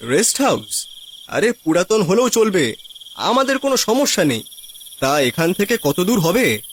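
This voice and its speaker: Man acting a lively, higher-voiced stranded city traveller. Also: unhurried, a little hiss or hum. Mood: hopeful, asking. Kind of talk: cartoon horror story voice acting.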